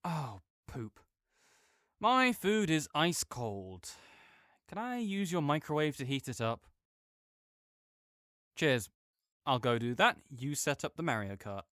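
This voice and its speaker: A man's voice: on the high side, big pitch swings, slow, pauses often, fairly bright tone, clear, resonant tone, dry recording, studio quality. A young man in an audio roleplay, talking as a supportive friend in a playful, reassuring way.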